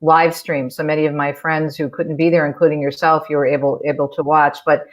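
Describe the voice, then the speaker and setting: A woman who grew up in Michigan speaks as a guest, telling long stories in a talkative, easy flow. Her voice sits very low for a woman. She has the practiced ease of someone who works on radio. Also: level tone, brisk. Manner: talkative, storytelling, warm restarts. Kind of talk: casual interview chat.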